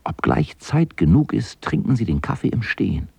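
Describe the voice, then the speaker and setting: A man narrates a radio play in a lowish, gravelly voice with a husky edge. His scripted storytelling moves through wide, animated changes of pitch. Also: moderate pace, no room sound.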